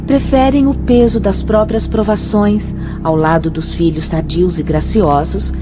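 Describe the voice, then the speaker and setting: A Brazilian woman playing a gentle, grave religious sister and spirit guide in a radio drama, explaining things in formal, measured phrases. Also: medium pitch, lightly acted. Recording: muffled audio under clear music.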